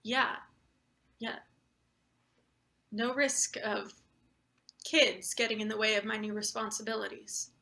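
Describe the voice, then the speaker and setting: A woman playing a flustered, deferential new boss in a live play reading, halting and anxious as they fawn over a superior. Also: medium pitch, fairly even intonation, bright, forceful tone. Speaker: actor. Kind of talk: live table read of satirical play.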